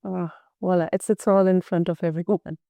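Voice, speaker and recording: A woman host asks her guest long, halting questions full of restarts. Her voice is low and gravelly with a very dark tone. The recording is dry, with no room sound. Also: steady tone, dry recording. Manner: hesitant, many fillers and restarts, warm praise. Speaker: podcast host. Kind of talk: interview questions.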